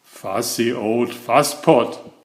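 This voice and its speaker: A man reading a children's storybook aloud in a measured, expressive storytelling manner. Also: medium pitch. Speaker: storybook narrator. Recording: roomy, echoing sound.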